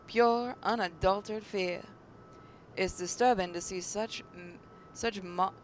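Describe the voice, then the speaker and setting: A woman's voice: mid-range voice, a little hiss or hum. A woman reading a story aloud as dramatic narration, occasionally stumbling over the words. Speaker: gaming channel narrator reading a visual novel aloud.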